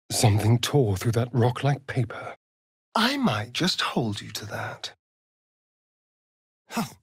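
Casting: a vain, sardonic British vampire rogue for a fantasy game; unhurried, theatrical drawl, widely swinging pitch, flirtatious edge. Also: male voice, a bit bright, husky, no echo, clean studio sound, heavily acted. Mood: dry, disdainful. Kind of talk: video game character dialogue.

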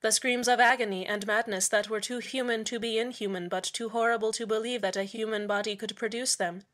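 A woman's voice: fairly high pitch, fairly even intonation, fairly quick, a bit bright, natural voice. A woman playing a falsely cheerful, menacing community radio host in a scripted audio drama, soothing, condescending and polite. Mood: soothing, ominous. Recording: music bed under the voice.

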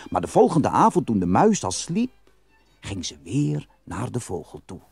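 A man's voice: on the low side, wildly swooping pitch, moderate pace, gravelly, over music. A man narrating a fairy tale as a scripted storyteller.

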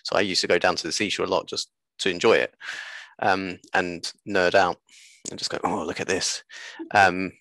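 A man in his thirties with a lowish, gravelly voice, recorded dry on a headset. He chats as an interview guest, stopping and restarting as he tells anecdotes. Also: flat delivery, normal speed, sharp tone, no room sound. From born in Japan, later moved away. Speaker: interview guest, seaweed business co-founder. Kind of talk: casual interview chat. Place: small room at home, video call. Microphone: headset on a video call.

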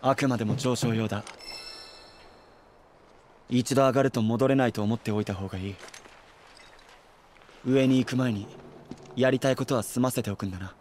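A man's voice: medium pitch, steady tone, moderate pace, pauses often, darkish tone, slight background noise, natural voice. Actor as a cool, guarded young man. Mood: cool, instructive. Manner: terse, matter-of-fact. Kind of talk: Japanese video game cutscene acting. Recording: music behind the dialogue.

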